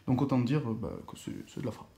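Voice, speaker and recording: A man's voice: mid-range voice, fast talker, a crowd in the background. A young man chatting casually as he unboxes a laptop, hesitant and full of fillers and restarts. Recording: echoey room with faint noise.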